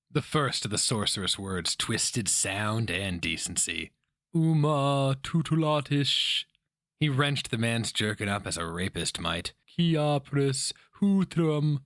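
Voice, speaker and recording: A man's voice: deep voice, bright, forceful tone, no room sound, clean studio sound. A man giving a theatrical, intense dramatic reading of scripted fiction as narrator.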